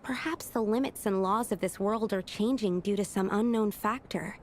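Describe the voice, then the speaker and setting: A woman voicing a curious young female alchemist in a video game, explaining in a thoughtful, measured way. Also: medium pitch, slight background noise, lightly acted.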